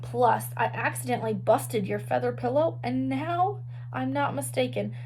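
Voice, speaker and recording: A woman voicing an excitable, blunt little kindergarten girl in a storybook, childish and exclamatory. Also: high voice, moderate pace, a little hiss or hum, poor audio. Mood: chatty, eager.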